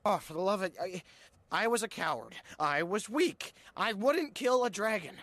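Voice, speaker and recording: A man voicing an awkward, sarcastic, scrawny teenage Viking boy in an animated film, nasal, with a wry, stammering delivery. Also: high-pitched, moderate pace, dry recording, clean studio sound. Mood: frustrated.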